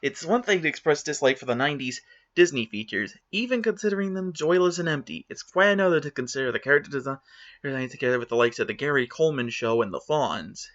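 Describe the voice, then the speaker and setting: A man's voice: medium pitch, lively intonation, fast talker, a bit bright, muffled recording. A man giving a dramatic reading of online forum comments, with exaggerated, indignant, theatrical emphasis. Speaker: reader of forum posts.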